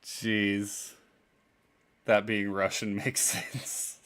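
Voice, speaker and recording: A man's voice: lowish voice, little pitch movement, drawn-out words, a bit bright, clean tone. A man co-hosting a podcast in a laid-back casual chat, laughing often and trailing off mid-sentence. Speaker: podcast co-host.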